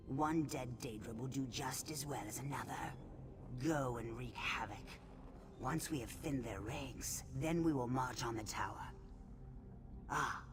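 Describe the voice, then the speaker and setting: A man playing a wary, battle-minded reptilian recruiter in a fantasy video game, measured, folksy and commanding. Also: medium pitch, noisy background, lightly acted. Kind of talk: video game character dialogue.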